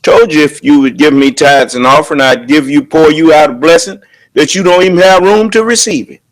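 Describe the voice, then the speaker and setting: Loud, high-voiced preaching man. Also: fairly quick, low-quality recording. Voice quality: loud, booming voice. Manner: emphatic preaching with rhetorical questions. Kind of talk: sermon at a prayer service.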